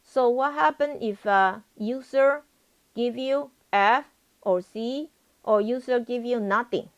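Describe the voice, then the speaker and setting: Slow-paced, higher-voiced woman teaching code. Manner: repetitive, frequently checks for understanding. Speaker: programming professor. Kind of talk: live coding lesson, questioning students.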